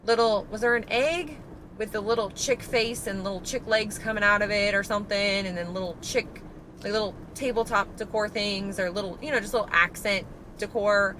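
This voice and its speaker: A woman chats about her shopping finds in a loose, rambling way, often stopping to correct herself. Her tone is bright and forward, with a slight hoarseness from allergies. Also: mid-range voice, moderate pace, a little hiss or hum, low-quality recording. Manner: chatty, rambling, self-correcting. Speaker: vlogger. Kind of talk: casual shopping haul vlog.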